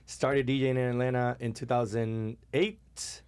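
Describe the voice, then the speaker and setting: Smooth-voiced man from Puerto Rico. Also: mid-range voice, measured pace, no echo. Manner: relaxed storytelling, many fillers. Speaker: DJ guest. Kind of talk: casual podcast chat.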